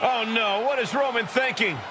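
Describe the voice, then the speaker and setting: A man's voice: very high voice, normal speed, crowd noise. A man playing a dramatic, earnest wrestling play-by-play announcer, calling a video game match with fervor. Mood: aghast. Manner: emphatic, dramatic. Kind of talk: excited sports commentary. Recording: noisy arena recording.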